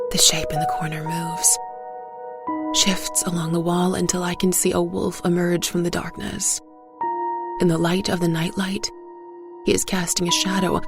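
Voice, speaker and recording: Low, slow, creaky woman storyteller. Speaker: story narrator. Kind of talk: dramatic first-person narration of a dark fairy tale.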